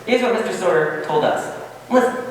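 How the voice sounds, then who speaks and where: Higher-voiced man reading a radio advertisement. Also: animated, normal speed. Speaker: commercial announcer. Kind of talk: scripted old-time radio advertisement read. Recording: echoey hall from far off, faint hiss.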